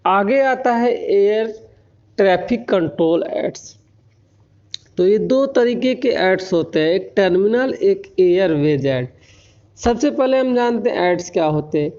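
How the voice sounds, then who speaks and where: An Indian man giving an explanatory technical lecture, often pausing to check that listeners follow. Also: high voice, expressive, darkish tone. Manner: frequent check-in tags while explaining. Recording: dull lo-fi recording.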